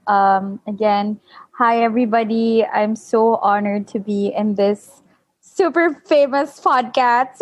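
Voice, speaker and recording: A woman's voice: fairly high pitch, dry recording. A woman in her thirties with a Filipino accent, a podcast guest who laughs often while talking over a video call. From Philippines. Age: 30s. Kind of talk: casual interview chat. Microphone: remote video call.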